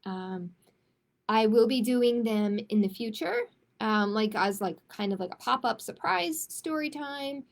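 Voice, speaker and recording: A woman speaking as a children's storytime host, in a measured manner suited to picture books and simple chat with families. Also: fairly high pitch, vocal fry. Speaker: children's librarian hosting storytime. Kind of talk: picture book read-aloud, then casual announcements.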